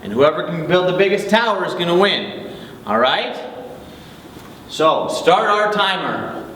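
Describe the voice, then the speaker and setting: A man's voice: sits high, lively intonation, unhurried, a bit bright. A man hosting a children's program, casually explaining things to kids. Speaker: children's ministry host. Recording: echoey room, distant mic, faint noise.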